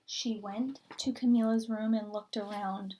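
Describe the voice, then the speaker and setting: A woman reading a children's story aloud expressively and deliberately, stretching words for emphasis. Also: a little high, steady tone, mellow, rough recording. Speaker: story reader.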